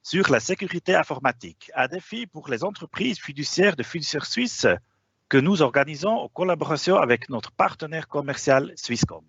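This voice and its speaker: A man presenting a professional webinar in a language that is not his first, stopping often on hesitations. His voice rises and falls a lot and carries a gravelly, slightly husky texture. The sound is dry and a bit dull, like a lo-fi online call. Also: on the high side, lively intonation, normal speed, fairly bright tone, no room sound. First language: not French. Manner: hesitant, frequent "euh" fillers.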